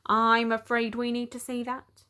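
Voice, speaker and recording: A woman's voice: a little high, completely flat delivery, unhurried, pushed and bright. A woman voicing a strict male doorman in a storybook, formal, firm and apologetically official. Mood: officious. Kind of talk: storybook read-aloud character.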